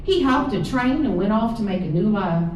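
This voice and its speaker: Southern lady reading her stories out loud, soft mellow drawl, really echoey room, mic kinda far. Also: medium pitch, lively intonation, moderate pace, a little hiss or hum. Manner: folksy, drawling storytelling. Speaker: author reading own stories. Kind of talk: live literary reading, first-person child narrator. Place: live reading in an indoor event room.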